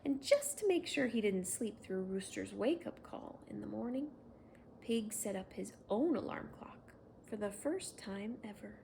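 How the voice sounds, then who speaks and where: A woman reads a children's bedtime story slowly, in a fairly low voice for a woman. Her phrasing is gentle and expressive, made for young listeners. There is clear background noise on the recording. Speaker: children's story reader and host.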